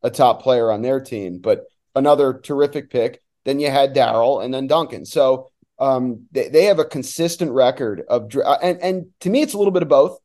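Mellow-voiced man talking soccer. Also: mid-range voice, moderate pace, hardly any pauses. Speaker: soccer radio play-by-play announcer, podcast guest. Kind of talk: casual sports analysis chat.

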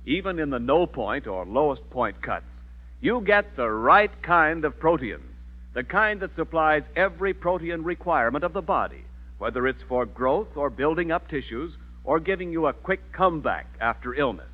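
An American man announcing and reading scripted advertising in 1940s radio style. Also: on the high side. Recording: narrow-band 1940s radio broadcast with music.

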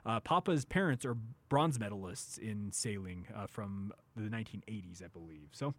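A man's voice: on the low side, normal speed, fairly bright tone. An American man hosting a sports radio show in a casual, conversational style. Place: radio studio.

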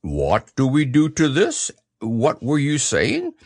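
A humble toy bear of little brain, played by a man in a storybook reading. He talks very slowly and earnestly, plodding from word to word, with a lot of rise and fall. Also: medium pitch, huge pitch swings, darkish tone, no room sound, clean studio sound, heavily acted. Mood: puzzled, humble. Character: humble, slow-witted, gentle male toy bear. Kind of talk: storybook character voice.

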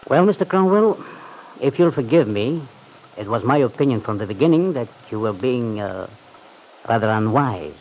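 An actor playing a cautious, worried manservant, formal and discreet, with a creaky voice, in an old-time radio serial. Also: male voice, mid-range voice, expressive, moderate pace, a little mellow, natural voice. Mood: discreet reproof. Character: deferential, cautious, worried manservant advising his employer. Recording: faintly noisy old radio recording.

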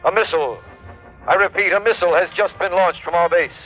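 An urgent male newsreader, played by an actor announces in a clipped, emphatic, formal style with a slightly high voice. It is vintage radio drama with very thin, tinny sound and faint music. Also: fairly quick, natural voice. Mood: alarmed. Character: urgent male radio newscaster relaying war bulletins. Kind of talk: 1960s radio drama newscast.

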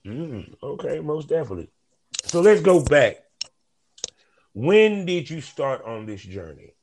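A man's voice: a little high, sing-song, measured pace, a little mellow, a little hiss or hum. A man hosting a casual podcast interview, talking in a laid-back, slangy drawl. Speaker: podcast host.

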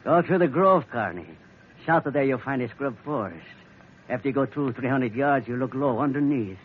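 A man's voice: medium pitch, expressive, moderate pace, lightly acted. An actor playing a ruthless male smuggler villain who speaks broken English in a taunting way. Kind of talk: 1940s radio acting. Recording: muffled old radio broadcast, hiss.

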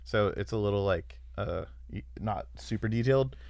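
Man, 30s, laid-back, hesitant, smooth clear voice, dry close sound. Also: fairly low pitch, normal speed, darkish tone, no room sound. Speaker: band member, podcast guest. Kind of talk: casual chat.

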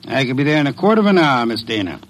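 An actor playing a pompous, shrewd detective with formal, ornate speech in an old-time radio drama. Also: male voice, mid-range voice, big pitch swings, rapid-fire. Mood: dryly courteous.

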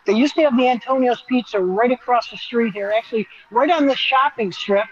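A man's voice: very high-pitched, fairly quick, dull audio. A man giving an enthusiastic, conversational live walk-through as a restaurant reporter, explaining as he goes.

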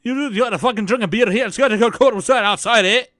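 A man's voice: quick pace, dry recording, studio quality. A man putting on a mock Scottish accent, playing a rowdy Scottish man who pushes beer on people. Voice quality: loud, high, boisterous.

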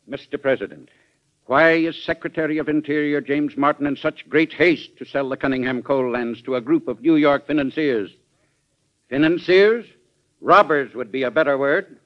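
An actor playing an earnest, indignant American government official in an old-time radio drama, formal and oratorical in delivery. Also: male voice, a little high, normal speed, lightly acted. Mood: accusing. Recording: dull vintage radio recording.

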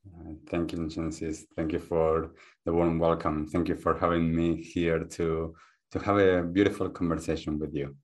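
A man with a very low voice and a mixed accent rooted in Argentine Spanish talks as a podcast guest. He speaks softly and in a measured way, thinking out loud. His manner is calm and reflective. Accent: mixed, hard to place, Argentine-Spanish base. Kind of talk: calm interview talk.

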